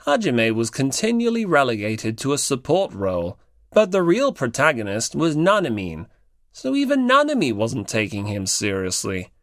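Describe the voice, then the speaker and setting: A man narrating an audiobook story in a mid-pitched voice with very lively intonation. The recording is close and dry, and he reads from a script. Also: moderate pace, no echo.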